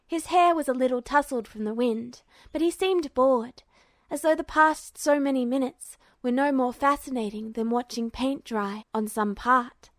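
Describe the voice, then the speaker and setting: High-voiced woman narrating a story. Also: moderate pace, dry recording. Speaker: fan fiction reader.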